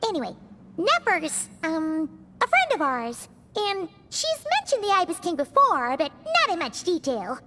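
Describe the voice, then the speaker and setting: A woman voicing a tiny, excitable fairy companion in a video game, childlike, squeaky and chatty with a theatrical, exclamatory manner. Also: a little slow, heavy background noise, exaggerated voice. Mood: exasperated. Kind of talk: English video game voice acting. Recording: music behind the voice.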